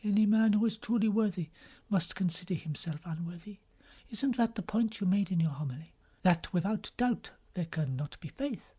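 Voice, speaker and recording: A man voicing a gentle, devout cardinal who speaks in a polite, formal, soft-spoken manner. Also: sits high, lively intonation, moderate pace, breathy edge, pitched above the performer's own voice. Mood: earnest.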